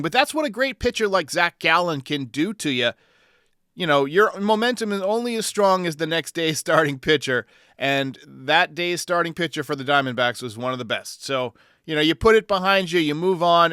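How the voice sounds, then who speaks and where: A man's voice: on the high side, bright, forceful tone, clear, resonant tone, dry recording, clean studio sound. An American man hosting a sports talk show, giving commentary in response to fan calls.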